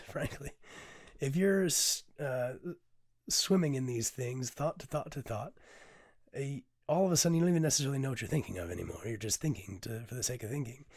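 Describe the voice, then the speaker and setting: Hoarse man, raspy voice, candid rambling podcast talk, plenty of ums, dry close recording. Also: medium pitch, darkish tone, no echo. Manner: candid, rambling, swears casually, many "um"s. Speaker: podcast host and poet. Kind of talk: poetry reading then casual reflective talk.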